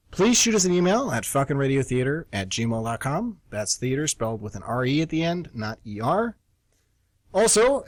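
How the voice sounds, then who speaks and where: Lively male radio theatre host. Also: medium pitch, no echo. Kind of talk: informal show presenting.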